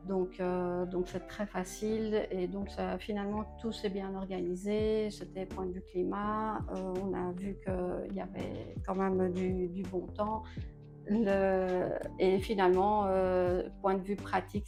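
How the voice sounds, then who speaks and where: A woman's voice: mid-range voice, flat delivery, measured pace. Belgian-raised woman, homeowner, hesitant casual talker, faint music bed. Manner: hesitant, many filler sounds. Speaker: homeowner giving a home tour. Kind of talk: casual interview.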